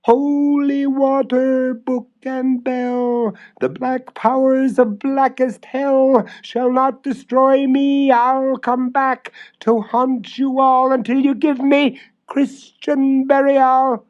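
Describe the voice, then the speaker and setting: A vengeful old witch's ghost, played by a man, screeching far up in a high pitch. The curses are drawn out slowly with a cackling edge, on a dull vintage storytelling record. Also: flat delivery. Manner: slow, drawn-out incantation. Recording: dull vintage spoken-word LP.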